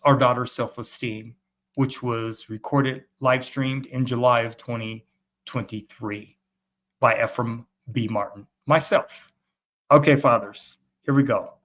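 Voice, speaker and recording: A man's voice: mid-range voice, fairly even intonation, a little slow, fairly bright tone. A man in his fifties hosting a livestream, speaking earnestly and emphatically as he repeatedly addresses the fathers watching. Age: 50s. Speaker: host and fatherhood author. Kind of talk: motivational talk to viewers. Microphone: webcam.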